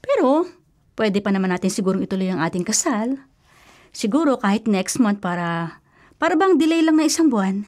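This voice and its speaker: An actress playing a stubborn, superstitious elder sister, insistent and fretful, in a radio drama. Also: female voice, mid-range voice, sing-song, a little fast, a little mellow, natural voice. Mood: pleading, defensive. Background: faint music.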